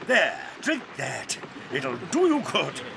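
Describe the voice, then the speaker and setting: An actor playing an eccentric, excitable male chocolate factory owner, playful and theatrical, in a studio audio drama. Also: a little high, takes it slowly, vocal fry, background noise, exaggerated voice. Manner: playful, theatrical, bursts into rhyming chants.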